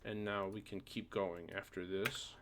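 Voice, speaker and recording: A man presenting a software tutorial informally, hesitating and thinking aloud as he goes. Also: fairly low pitch, fairly even intonation, unhurried. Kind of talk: casual screen-recorded software tutorial. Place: indoors at a computer. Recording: noisy home desktop recording, keyboard clicks. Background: keyboard typing, background noise.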